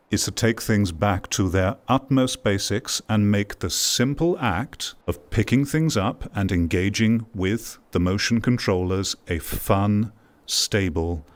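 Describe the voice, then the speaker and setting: A man giving opinionated commentary to viewers, emphatic and repeating words for stress. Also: on the low side. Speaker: video host, VR commentator.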